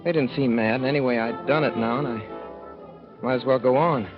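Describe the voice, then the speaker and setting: A rambling, boyish young Midwestern man, as an actor plays him, telling his story in plain folksy talk. His voice sits at an average pitch. The sound is dull old radio, with music underneath. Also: moderate pace, lightly acted. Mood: earnest. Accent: Midwestern American. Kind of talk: 1930s radio drama narration.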